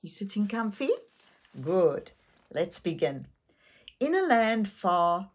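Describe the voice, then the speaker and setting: An older woman narrating a children's story expressively, gently and deliberately, with questions to young listeners. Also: lowish voice. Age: older, grandmother.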